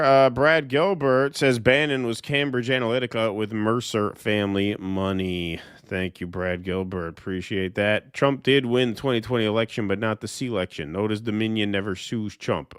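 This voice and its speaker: A man's voice: lowish voice, a bit bright, smooth, dry recording, studio quality. A man co-hosting a casual livestream, reading viewer comments aloud and thanking the people who sent them.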